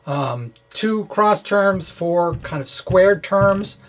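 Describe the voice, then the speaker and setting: A man explaining physics in an informal walkthrough, thinking aloud in a halting way and correcting himself, with wry asides. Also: sits high, expressive, low-quality recording. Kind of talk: informal math walkthrough, reading and ad-libbing.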